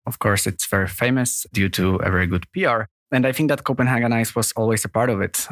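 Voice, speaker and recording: Earnest low-voiced podcast host. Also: male voice, fairly bright tone, no room sound, studio quality. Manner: earnest, slightly formal phrasing with hesitations. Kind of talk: podcast intro and interview. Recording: faint music underneath.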